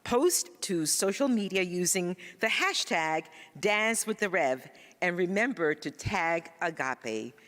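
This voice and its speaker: A woman's voice: on the low side, expressive, takes it slowly, a bit bright, long reverb tail. A woman from Ghana whose first language is Ga, giving announcements and blessings as a church practitioner.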